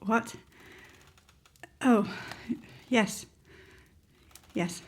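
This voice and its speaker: A woman playing a dazed, weary, grieving mother, halting and tender, in a radio play. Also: on the high side. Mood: dazed grief. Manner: halting, distracted. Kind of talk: theatrical radio-play acting.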